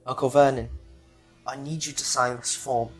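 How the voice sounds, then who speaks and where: A man plays a put-upon teenage boy in an audio play. His voice is mid-pitched and understated, with a guarded manner. Music plays clearly behind him. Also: steady tone, moderate pace, close to an everyday voice. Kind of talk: audio drama acting.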